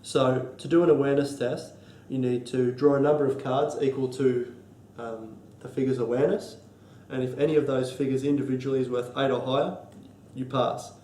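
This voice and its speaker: An Australian man with a cold and a stuffy nose, explaining board game rules in a halting, casual way full of ums and restarts. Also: mid-range voice, steady tone, warm tone, echoey room, slight background noise. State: congested from a cold. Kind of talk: casual tabletop rules walkthrough.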